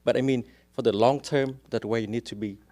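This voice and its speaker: A man's voice: mid-range voice, steady tone, a little fast, mellow. A man with a strong Vietnamese accent, a real estate agent speaking as a guest in a casual interview, explaining things earnestly and step by step.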